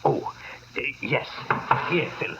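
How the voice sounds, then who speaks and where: An actor playing a worried, earnest older doctor, hesitant and pleading, in a 1950s radio drama. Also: male voice, slow pace, a bit bright. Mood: uneasy. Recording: muffled, noisy vintage radio broadcast.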